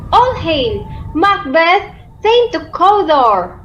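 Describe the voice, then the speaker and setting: A woman's voice: very high voice, very animated, drawn-out words, a bit bright, highs cut off, a little hiss or hum, exaggerated voice. A woman playing an eerie witch who chants spells and prophecies in an amateur radio drama. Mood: ominous.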